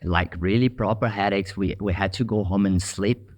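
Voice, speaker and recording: A man's voice: fairly low pitch, normal speed. A man from Brazil with a Brazilian Portuguese accent, a business owner telling his story matter-of-factly as an interview guest. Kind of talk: interview conversation.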